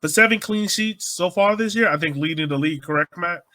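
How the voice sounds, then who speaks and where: Man, forceful bright tone, slightly high voice, casual soccer podcast co-host. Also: fairly quick. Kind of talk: casual soccer analysis chat.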